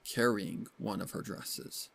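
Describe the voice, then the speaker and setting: A woman's voice: a bass voice, fairly even intonation, takes it slowly, creaky, slight background noise. A woman narrating a scripted dramatic story as its storyteller. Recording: music behind the voice.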